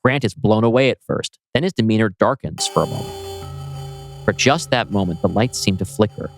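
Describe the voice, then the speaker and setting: A man's voice: lowish voice, normal speed, vocal fry. A man narrating a screenplay dramatically as its writer, presenting stage directions and scene changes. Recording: music playing behind voice.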